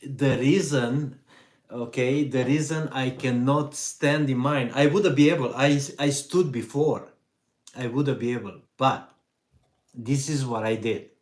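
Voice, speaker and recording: Middle-aged Hungarian-accented male host, slow and easygoing, live stream Q&A. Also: medium pitch. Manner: slow, relaxed, pauses with fillers. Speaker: vanlife channel host. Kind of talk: live stream Q&A reading chat.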